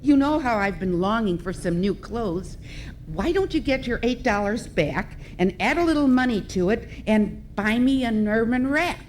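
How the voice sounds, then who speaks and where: Woman doing naggy whiny radio sitcom wife, high voice, melodramatic n accusing, bit noisy live recording. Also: animated, normal speed, a little mellow, natural voice. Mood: nagging. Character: nagging, self-pitying, suspicious wife in radio sitcom. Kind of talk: old-time radio comedy sketch acting. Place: live stage in a hotel ballroom.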